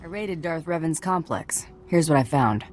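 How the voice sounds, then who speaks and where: A woman's voice: low voice, animated, normal speed, darkish tone, faint music behind. An actress playing a tough, ruthless female bounty hunter in a video game, blunt and commanding. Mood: matter-of-fact. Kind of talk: video game cutscene acting.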